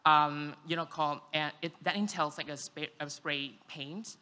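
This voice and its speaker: Young vietnamese guy on stage, very bright tense voice, halting, says like a lot, hall reverb. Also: on the high side, fairly even intonation, smooth. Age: young adult. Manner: halting, filler-heavy, frequent "like". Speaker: university student speaker. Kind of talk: informal public speech. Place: large event hall, on stage.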